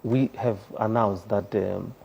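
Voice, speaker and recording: A man's voice: lowish voice, steady tone, takes it slowly, gravelly, darkish tone, slightly rough, rough recording. A South African man speaking as a studio guest on a panel, earnest and hesitant with many fillers. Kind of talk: panel discussion.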